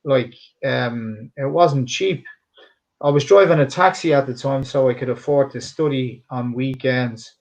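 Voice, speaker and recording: A man's voice: mid-range voice, unhurried, creaky, a little mellow, low-quality recording. A middle-aged man with a somewhat polished Dublin Irish accent, a cutman answering interview questions in an earnest, emphatic way, rambling and restarting his sentences. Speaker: boxing and MMA cutman, interview guest.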